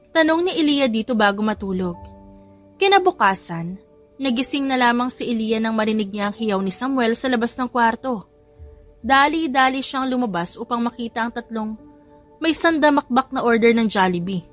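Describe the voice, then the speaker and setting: A woman with a rather high voice reads a scripted romance as its narrator. Music plays behind her throughout. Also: fairly bright tone. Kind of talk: scripted love story narration.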